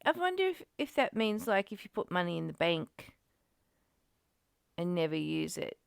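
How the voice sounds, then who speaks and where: A woman's voice: on the low side, big pitch swings, normal speed, gravelly, smooth, no echo, studio quality. A woman reading aloud and reflecting in casual discussion, in a musing, questioning and conversational manner. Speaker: study group co-host, reader.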